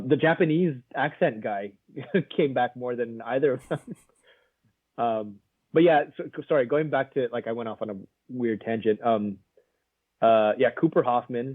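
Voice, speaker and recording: A man's voice: on the low side, no room sound. A man co-hosting a casual film review podcast, rambling with lots of fillers and frequent laughs. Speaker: podcast co-host. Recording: narrow phone-like sound.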